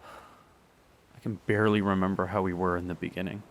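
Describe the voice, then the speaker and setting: A young man performing a radio drama monologue, halting and sighing as he pleads with an absent partner. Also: deep voice, flat delivery. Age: young adult. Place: beside the sea.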